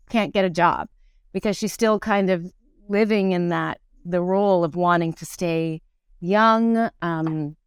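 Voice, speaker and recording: A woman, chatting as an interview guest in halting, reflective phrases. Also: on the low side, smooth, no room sound, clean studio sound. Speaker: actor and singer, podcast guest. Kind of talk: casual interview conversation.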